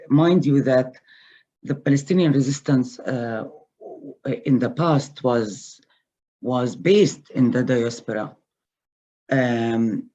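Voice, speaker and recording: A man answering as a panelist in an online webinar, speaking in a measured, hesitant way with many fillers, over a video call. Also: mid-range voice, a little mellow, lo-fi sound.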